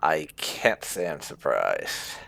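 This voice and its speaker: A man giving casual let's play commentary as a gaming channel host, halting and dry in delivery. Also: a bass voice, sing-song, vocal fry, bright, forceful tone, rough recording.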